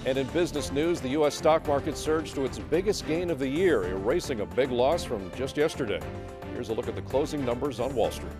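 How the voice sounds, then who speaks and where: An American man anchors a TV newscast in a mid-pitched voice, reading scripted stories in broadcast style. Music can sit under his delivery. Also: animated, a little fast. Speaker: TV news anchor.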